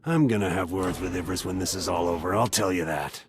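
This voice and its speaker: An actor playing a suspicious, hot-headed military captain in a video game, blunt and commanding. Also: male voice, low-pitched, fairly quick, a little hiss or hum, natural voice. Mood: indignant. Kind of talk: video game character acting.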